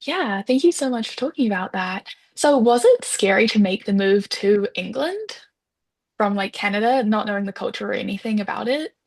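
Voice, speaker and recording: A woman's voice: medium pitch, expressive, moderate pace. A woman with a New Zealand accent who hosts a webinar interview, asking friendly, encouraging questions with filler "like"s. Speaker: interviewer host.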